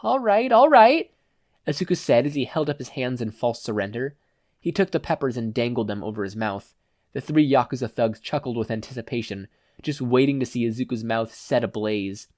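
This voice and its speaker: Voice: mid-range voice, fairly quick, darkish tone, no echo, clean studio sound. A narrator reading fan fiction as a scripted audiobook.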